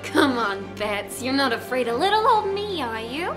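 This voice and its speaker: A woman's voice: sits high, big pitch swings, moderate pace, music in the background. A woman playing a young, taunting and vengeful female villain in a fan audio drama. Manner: taunting, defiant.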